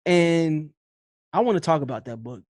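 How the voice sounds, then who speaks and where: Dry-sounding playful podcast host. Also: male voice, a little high, animated, moderate pace, a little mellow, dry recording. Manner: playful, loose, repeats words. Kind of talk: casual interview chat.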